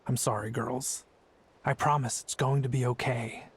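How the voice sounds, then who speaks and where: A worried but steady father, as an actor plays him, soothing in a mid-range voice. He sounds a little distant, with faint noise underneath. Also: mid-range voice, moderate pace, close to an everyday voice. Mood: reassuring. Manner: soothing, apologetic. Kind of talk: video game voice acting.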